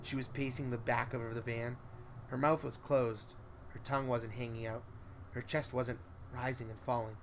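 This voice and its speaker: A man's voice: medium pitch, little pitch movement, normal speed, slight background noise. A man reading a scripted first-person horror story in a suspenseful, theatrical way. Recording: prominent music under the voice. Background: music.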